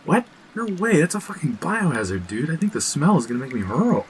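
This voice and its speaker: Anxious, animated young guy. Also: fairly high pitch, a little fast, slight background noise. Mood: indignant. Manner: agitated, sardonic, slangy. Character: anxious, sarcastic young man, jumpy and exasperated. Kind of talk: naturalistic horror audio drama dialogue.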